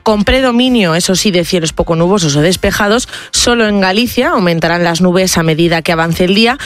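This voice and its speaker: A woman's voice: on the low side, brisk. A woman from northern Spain with a Spanish accent, co-presenting on the radio, chatting and presenting bulletins. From Burgos, Spain.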